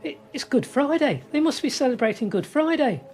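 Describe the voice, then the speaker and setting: A woman's voice: high voice, big pitch swings, a little mellow. A woman playing an earnest, frightened young male First World War soldier in a radio drama. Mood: agitated. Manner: halting, earnest confiding. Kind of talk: radio drama monologue and dialogue. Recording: noisy ambience behind the voice.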